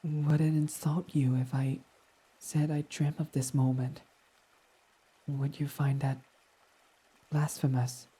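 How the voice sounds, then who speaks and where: A man voicing a devoted, submissive fox boy servant in an intimate ASMR roleplay, reverent, hesitant and breathy. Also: fairly high pitch, level tone, takes it slowly, long pauses, low-quality recording. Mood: awed, yearning. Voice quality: soft, breathy. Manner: reverent, self-deprecating, hesitant, breathy half-whispers.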